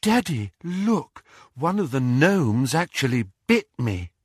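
A man voicing a serene, eccentric teenage girl in an audiobook, with an airy, unhurried, bright manner. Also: mid-range voice, very animated, husky, no echo, clean studio sound, pitched above the performer's own voice, exaggerated voice.

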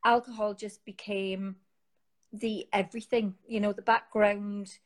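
A woman's voice: medium pitch, little pitch movement, a little slow. Geordie woman, interview guest, casual, rambling, phone mic. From Newcastle, England. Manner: chatty, rambling, with many fillers and restarts. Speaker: guest, founder of an online support group. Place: home, sitting on a sofa.

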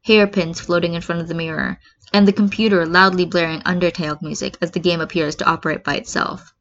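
A woman's voice: lowish voice, fairly even intonation, fast, fairly bright tone. A woman reading fan fiction aloud as an amateur narrator, with a slightly muffled, lo-fi recording. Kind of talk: expressive amateur story narration.

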